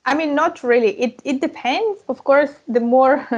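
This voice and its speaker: A woman, a language coach talking as a podcast guest in a relaxed, chatty way with frequent laughs and fillers. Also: sits high, unhurried, lo-fi sound. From Ukraine. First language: Russian and Ukrainian. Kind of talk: casual interview chat.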